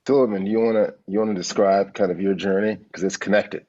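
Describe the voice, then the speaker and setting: Deep, husky man on a video call. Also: fast talker. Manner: relaxed, hesitant, many fillers. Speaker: founder and program leader. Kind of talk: casual interview on a video call. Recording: muffled video call audio.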